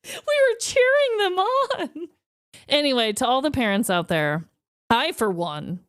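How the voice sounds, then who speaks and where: A woman co-hosting a comedy podcast in a casual storytelling style. Her voice is high and swings widely in pitch, with emphatic, dramatic delivery. The recording is close and dry. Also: sing-song, measured pace, no room sound. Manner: dramatic, emphatic storyteller who repeats words and checks in with "okay?". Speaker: podcast co-host.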